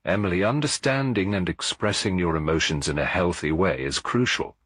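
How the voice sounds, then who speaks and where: A man reading the part of a gentle, wise elderly lady sleuth in a mystery audiobook, measured, formal and reassuring. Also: low-pitched, dry recording, clean studio sound. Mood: gently counselling.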